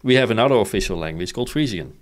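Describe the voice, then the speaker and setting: A man's voice: fairly low pitch, expressive, very fast. A man from the Netherlands, chatting casually as an interview guest and explaining things in short, informal bursts that often end in a checking question. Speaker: interview guest, company founder.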